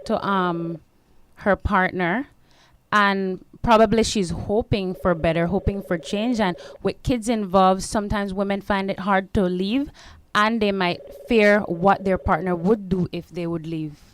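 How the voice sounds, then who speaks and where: A woman giving measured, earnest commentary as a radio studio guest commentator. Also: mid-range voice, fairly even intonation, slight background noise.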